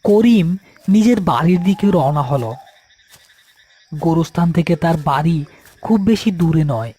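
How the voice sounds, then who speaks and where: A man's voice: fairly high pitch, lively intonation, normal speed, warm tone, slightly rough. A man reading a scripted horror story as its narrator.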